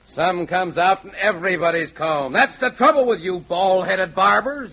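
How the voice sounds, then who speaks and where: An actor playing an irritable, easily flustered young customer in 1940s radio comedy, speaking in a clipped, insistent way. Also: male voice, sits high, moderate pace, gravelly. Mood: indignant. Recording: muffled, noisy 1940s radio recording.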